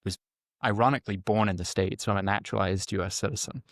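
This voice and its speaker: Interview guest, male, middle-aged, low pitch, explanatory and halting, dry sound. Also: fairly bright tone, no room sound. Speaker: guest, former Army Ranger medic. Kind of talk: conversational interview answers.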